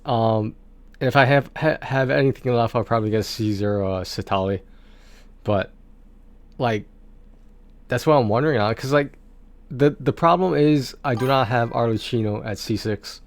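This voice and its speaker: A man chatting casually on a game livestream, talking in a rambling, halting way and often repeating his words. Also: mid-range voice.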